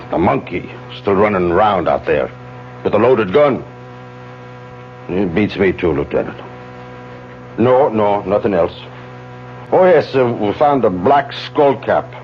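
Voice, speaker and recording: A man's voice: fairly low pitch, animated, measured pace, long pauses. A man acting in a 1970s radio drama as a puzzled, matter-of-fact policeman reporting to his superior. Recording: muffled 1970s radio, faint mains hum.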